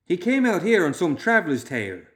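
A young country hothead from a grim storybook tale, performed by a man. He is bitter and blunt, speaking in folksy, rough-hewn phrases. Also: medium pitch, lively intonation, normal speed, a bit bright, lightly acted. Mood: incredulous. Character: bitter, bony young man, hot-headed rustic.

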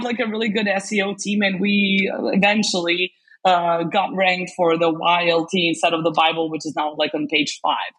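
A woman's voice: mid-range voice, a bit bright, husky, low-quality recording. A woman, a language specialist chatting as an interview guest, talking loosely with lots of fillers and restarts. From Serbia. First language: Serbian. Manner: chatty, many fillers and restarts, laughs often. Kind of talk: casual interview chat.

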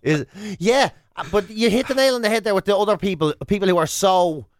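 A man's voice: high-pitched, a little fast, a little hiss or hum. An Irish man co-hosting a radio show with teasing, rambling comic banter, acting out his examples as he goes.

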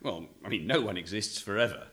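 An actor playing a wry, middle-aged adventure novelist with a theatrical storytelling manner in a radio play. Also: male voice, on the low side, brisk, a bit bright, natural voice. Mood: sheepish, amused. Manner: wry, placating, dramatic when narrating. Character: teasing middle-aged male adventure novelist, placating, melodramatic narrator.

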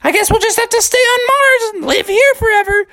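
A man voicing a young bear character in a very high, shrill, wailing voice. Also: sing-song, moderate pace, a bit bright, no room sound, clean studio sound, exaggerated voice. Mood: whiny.

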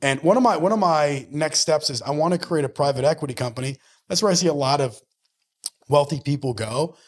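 A man's voice: on the high side, brisk. A man in his early forties talking conversationally as an interview guest, earnest and motivational, often checking in with "right?". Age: early 40s. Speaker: podcast guest, mortgage lender and business owner.

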